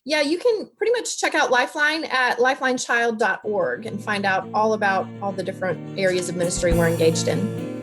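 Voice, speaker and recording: A woman's voice: medium pitch, lively intonation, a little fast, music in the background. A woman who is a ministry director and social worker, speaking as a podcast guest in an earnest, encouraging way. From raised across many places as a military kid. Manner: earnest, encouraging, faith-based. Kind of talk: encouraging interview talk.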